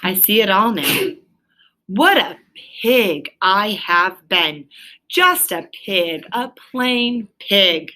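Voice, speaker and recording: A loyal, good-hearted storybook rat, as a woman reads him aloud. He talks like an old-fashioned chum in a bright, clear-cut voice. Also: mid-range voice, very animated, extremely slow, full character voice. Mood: gloomy, remorseful. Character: kindly, hearty male rat, loyal chummy companion. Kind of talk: children's storybook read aloud.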